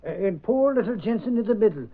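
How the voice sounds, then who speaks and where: An actor playing an excitable, slangy, folksy newspaper sidekick in an old-time radio drama. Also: male voice, sits high, fast, breathy edge, exaggerated voice. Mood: excited, insistent. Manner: folksy, ungrammatical speech. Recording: tinny old radio recording.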